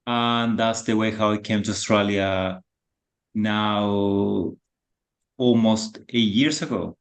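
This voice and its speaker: A man in his thirties from Chile with a Chilean Spanish accent, speaking as a podcast guest in a halting, soft-spoken way with many fillers. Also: on the low side, steady tone. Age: 30s. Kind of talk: casual reflective conversation.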